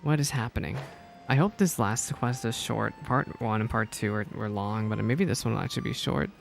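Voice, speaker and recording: Creaky-voiced man streaming a game. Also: fairly low pitch, normal speed. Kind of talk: casual gameplay reaction commentary. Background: soft video game music.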